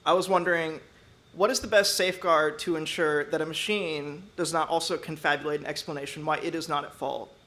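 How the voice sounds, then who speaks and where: A man in the audience asking a careful, measured question at a panel. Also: on the high side, steady tone, pushed and bright.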